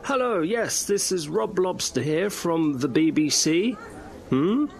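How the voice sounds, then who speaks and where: Highish male voice put on by a man, animated, pompous fussy reporter, digressive, radio comedy. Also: takes it slowly, vocal fry, full character voice. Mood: fussy, inquisitive. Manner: fussy, digressive, self-correcting.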